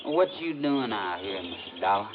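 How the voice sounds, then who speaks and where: A man's voice: on the low side, sing-song, moderate pace. An actor playing a folksy backwoods man with a rural Southern American drawl in a 1950s radio drama. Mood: wary, curious. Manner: folksy drawl with rustic grammar. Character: backwoods moonshine-country man, folksy, protective, sweet on a widow. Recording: dull, noisy vintage radio recording.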